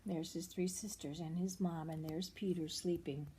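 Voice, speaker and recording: An older woman reading a children's picture book aloud, expressive and often hushed, pausing for asides about the pictures. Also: fairly low pitch, a little hiss or hum.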